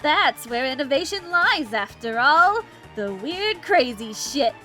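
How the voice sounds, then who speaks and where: A woman voicing an eccentric young inventor in an animated comedy, gushing, slangy and excitable. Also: high voice, takes it slowly, soft background music, heavily acted. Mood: eager, playful.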